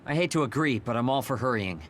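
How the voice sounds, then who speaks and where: A man's voice: mid-range voice, animated, fast talker, fairly bright tone, a little hiss or hum, natural voice. A man playing a blunt, prickly young soldier in an anime-style video game, snippy and scornful. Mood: irritated.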